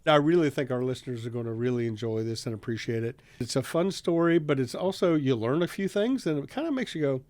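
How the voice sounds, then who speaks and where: A man's voice: mid-range voice, animated, fast, hardly any pauses, a little mellow, no room sound. A man talking casually in a studio conversation, as a writer and public broadcaster introducing a radio drama.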